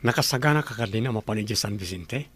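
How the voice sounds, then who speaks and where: A man's voice: on the low side, fast, slightly rough. A man voicing a polite ranch owner who hires a nurse for his ranch. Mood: courteous. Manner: calm, courteous. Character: courteous wealthy ranch owner.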